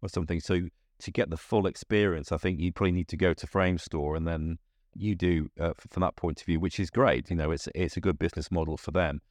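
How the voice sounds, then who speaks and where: A man's voice: low voice, fairly even intonation, fast talker, darkish tone, no echo, clean studio sound. A man hosting a podcast interview, asking questions and giving brief encouraging prompts.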